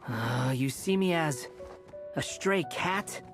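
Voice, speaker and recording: A man's voice: a little high, lively intonation, slow, faint music behind. A man voicing an aloof young wanderer in a video game, dry, curt and sardonic. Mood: wry. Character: aloof, sardonic young male wanderer turned storybook stray cat.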